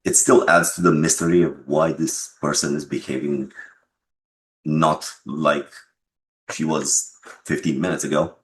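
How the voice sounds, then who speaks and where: A man's voice: rumbling low, flat delivery, a little slow, a bit bright, slight background noise, low-quality recording. A man being interviewed as a game developer, talking casually and reflectively about his creative work.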